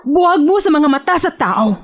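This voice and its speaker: A woman's voice: sits high, expressive, moderate pace. A woman playing a stern, moralizing mother who scolds her grown daughter in a radio drama.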